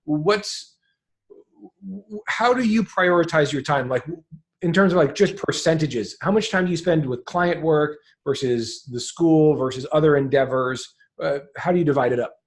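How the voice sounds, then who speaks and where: A man's voice: fairly high pitch, moderate pace. A man doing a casual interview, thinking aloud as he works his way through questions.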